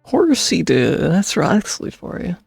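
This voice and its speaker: A man streaming a video game, casually commenting and reading text aloud in an offhand, gravelly, husky voice. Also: sits high, very animated, a little slow. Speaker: streamer playing and reacting. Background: faint game music.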